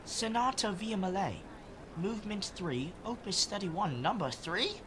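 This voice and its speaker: A woman's voice: mid-range voice, lively intonation, measured pace, background noise, natural voice. A woman playing an earnest, protective young street-urchin leader in an audio drama. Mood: curious.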